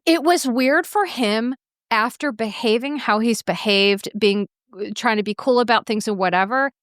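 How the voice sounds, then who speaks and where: An outspoken woman hosts a casual podcast chat, animated and unafraid to swear. Her voice sits a little high. She's close on the mic in a dry, dead-sounding space. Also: moderate pace, no room sound. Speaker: podcast host.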